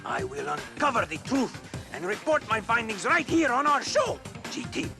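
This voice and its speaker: A man's voice: extremely high pitch, very animated, normal speed, creaky, heavily acted. A man voicing a brash, self-important talking bird commentator in a video game, boastful and dramatic. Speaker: color commentator. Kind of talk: cartoonish video game acting. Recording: music behind the voice.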